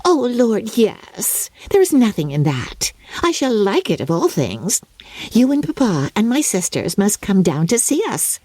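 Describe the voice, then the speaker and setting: A giddy young bride from a classic storybook, acted out by a woman narrator, pitched high and swooping with self-satisfaction. She gushes and boasts, the voice soft-edged rather than crisp. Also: very animated, measured pace, dry recording. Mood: breezy, confident. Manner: gushing, breathless exclamations, giggly.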